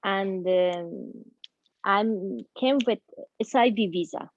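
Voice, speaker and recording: A woman's voice: medium pitch, takes it slowly, no room sound. A woman from Afghanistan with an Afghan accent, giving a personal account as an interview guest in simple, hesitant phrases. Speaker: guest speaker, refugee. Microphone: video call. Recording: muffled online call audio.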